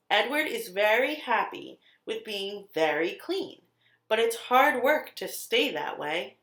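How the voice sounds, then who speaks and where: Woman, children's storyteller, unhurried slow pace, expressive read-aloud, dramatic emphasis, echoey room sound. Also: medium pitch. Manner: deliberate, dramatic emphasis on key words. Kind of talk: expressive scripted picture-book narration. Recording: roomy, echoing sound.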